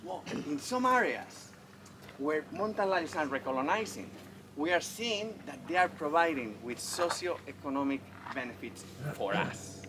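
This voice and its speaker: A man's voice: on the high side, very animated, measured pace. A man from Spain with a Spanish accent, a wildlife veterinarian giving a friendly, enthusiastic public talk at an outdoor festival. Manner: enthusiastic, friendly, asks the audience questions. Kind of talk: public lecture. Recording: noisy outdoor festival recording.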